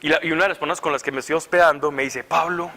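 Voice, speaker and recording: A man preacher giving an animated, conversational sermon full of stories at a retreat. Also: on the high side, rapid-fire, big-room sound. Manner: animated, conversational, laughing at his own stories. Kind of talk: sermon with storytelling. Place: large hall at a retreat.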